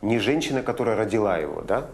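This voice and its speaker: A gynecologist answering interview questions, hesitant at times, with restarts. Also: male voice, on the low side, expressive, fast talker, crowd noise. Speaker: gynecologist, clinic head.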